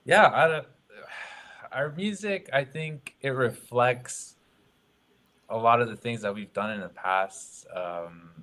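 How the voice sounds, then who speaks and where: Bright-toned, thoughtful young man. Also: mid-range voice, takes it slowly. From Ottawa, Canada. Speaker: drummer, guest. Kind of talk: casual interview chat.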